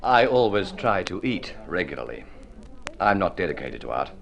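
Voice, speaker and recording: A man's voice: deep voice, very animated, normal speed, vocal fry, heavily acted. An actor playing a wry, practical, struggling male writer with a teasing, sardonic manner in an old radio drama. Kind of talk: vintage radio drama acting.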